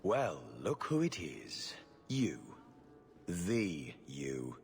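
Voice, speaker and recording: A man's voice: low-pitched, sing-song, very slow, soft background music, exaggerated voice. A man playing a harried, sardonic city official in a video game, formal, dry and exasperated. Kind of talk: video game cutscene acting. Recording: long reverb of a hall.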